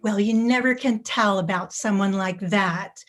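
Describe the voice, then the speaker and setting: Hospitable, wary housewife played by an actress, mid-pitched. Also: female voice, a little slow, close to an everyday voice. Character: hospitable, suspicious suburban housewife, chatty neighbor. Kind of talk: staged play reading. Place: video call.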